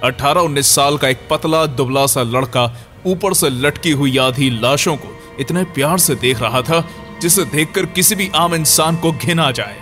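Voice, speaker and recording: A man's voice: fairly high pitch, moderate pace, fairly bright tone. A man narrating a scripted horror audio story in a dramatic storyteller's manner. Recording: music under the voice. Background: background music.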